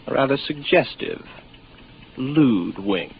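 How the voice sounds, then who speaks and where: A man's voice: medium pitch, animated, slow pace, creaky. An actor playing a weary, lonely young spaceman, wry and rambling, in a 1950s radio drama. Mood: wry, musing. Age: 20s. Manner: wry, musing, sardonic. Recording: noisy muffled 1950s radio recording.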